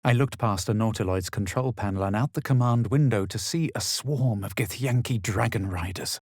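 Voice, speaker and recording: A haughty fantasy game hero with a low, slightly husky voice. He speaks with measured drama and proud superiority, in a dry, close recording. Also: darkish tone, no echo. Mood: wary, superior. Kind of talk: fantasy video game voice lines, narration and incantations.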